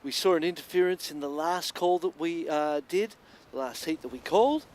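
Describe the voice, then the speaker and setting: A man's voice: a little high, animated, normal speed, a little mellow. A man calling a surfing contest live, chatty and reflective between the waves. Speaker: surf contest commentator. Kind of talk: live sports commentary.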